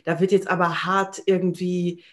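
A woman's voice: lowish voice, level tone, moderate pace. Female guest in her 30s, informal conversational delivery for an interview. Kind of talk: casual interview chat. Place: home room, video call.

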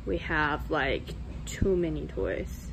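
A woman's voice: deep voice, takes it slowly. A woman in her thirties giving a casual, conversational home tour as a vlogger, recorded indoors on a handheld camera. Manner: informal, conversational. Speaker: family vlogger. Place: unfinished basement at home, indoors. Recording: clearly noisy handheld camera audio.

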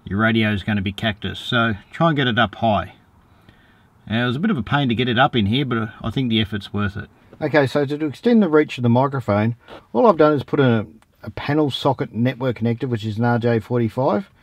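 An Australian man in his forties giving a casual, matter-of-fact DIY tutorial, speaking in a laid-back, slangy way. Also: mid-range voice. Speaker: host of a how-to four-wheel-driving channel. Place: outdoors in a driveway and around vehicles. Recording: noticeable background ambient noise.

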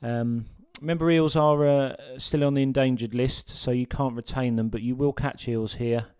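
A man narrating a sea fishing guide as a voice-over, relaxed and full of ums and uhs. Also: mid-range voice, moderate pace, clear, resonant tone.

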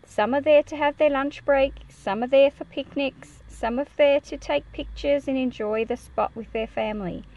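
A woman's voice: sits high, moderate pace, hardly any pauses, a little mellow, highs cut off. A woman reading a picture book aloud and explaining it to children in a careful, teacherly way. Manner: careful, explanatory, enunciated for young listeners.

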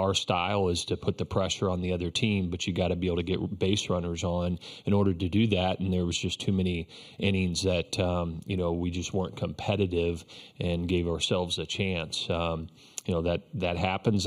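A low-voiced man in his fifties, a baseball coach, takes reporters' questions at a postgame press conference. He speaks in a flat, even line, measured and reflective, often restarting with "you know." His voice comes through a table microphone in an indoor press room.

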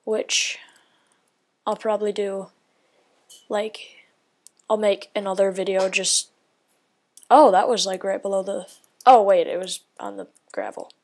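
A woman's voice: medium pitch, fairly even intonation, measured pace, long pauses, darkish tone. A woman giving casual gameplay commentary, thinking aloud in a rambling way and often dropping to a whisper. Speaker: gaming video creator.

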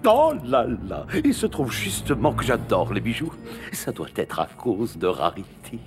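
A man's voice: medium pitch, huge pitch swings, a little slow. A man playing a whimsical, smug chimera creature of chaos in a French cartoon dub, theatrical and teasing. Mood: sly, playful. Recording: music behind the voice.

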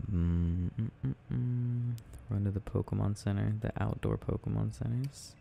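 Tender boyfriend male actor, late-night ASMR roleplay: slow, gravelly murmur, doting and gently teasing. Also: lowish voice, lo-fi sound, a little hiss or hum, exaggerated voice. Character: tender, doting boyfriend soothing his sleepy partner at night.

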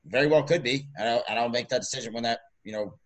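A man's voice: medium pitch, quick pace, fairly bright tone. A man in his mid twenties, a college strength coach co-hosting a podcast, rambling in an upbeat casual chat with many fillers. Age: 20s.

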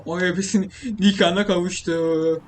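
A man's voice: high voice, level tone, normal speed. A young man gives casual, informal gameplay commentary, rambling and thinking aloud. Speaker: gaming video creator. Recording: clearly noisy home recording, keyboard clicks. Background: typing and keyboard clicks.

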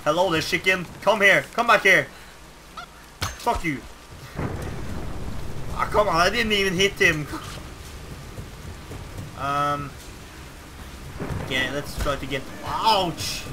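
Young Norwegian-accented gamer, loud and reactive, somewhat high voice over rainy game sound. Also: male voice, slow pace, pauses often. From Norway. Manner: excitable, swearing, reacting loudly to gameplay. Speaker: let's play commentator. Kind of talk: casual gameplay commentary. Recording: game sound behind, rain noise.

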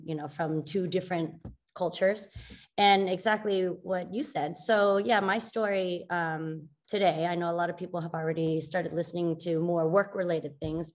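A woman in her forties from the United States, a guest speaker telling her story in an animated, expressive way over a video call. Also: on the low side. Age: 40s. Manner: animated, expressive, laughs often. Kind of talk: conversational interview. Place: plain indoor room.

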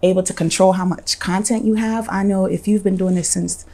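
A woman's voice: mid-range voice, steady tone, mellow. Young woman hosting a studio talk show. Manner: curious, quick follow-up questions. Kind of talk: casual interview chat. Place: small decorated studio set indoors.

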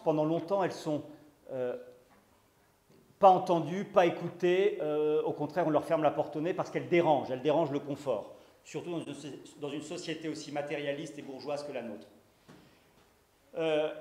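A man in his thirties giving a lecture in a library room, earnest and halting, with many restarts and repeated words. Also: on the high side, a little mellow. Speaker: conference speaker. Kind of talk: lecture talk. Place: library room with bookshelves, indoors. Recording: echoey room from a distance.

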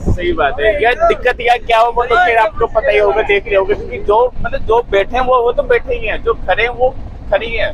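High-pitched young man vlogging over loud noise. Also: lively intonation, brisk. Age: 20s. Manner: chatty, informal, addressing viewers as friends. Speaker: travel vlogger. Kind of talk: casual travel vlog narration and chat with passengers. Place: crowded train carriages and railway platforms. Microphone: phone at arm's length. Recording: loud train and outdoor ambient noise.

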